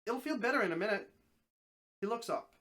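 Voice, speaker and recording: A streamer reading a story aloud as a dramatic narration, expressive, now and then stumbling over a word. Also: medium pitch, brisk.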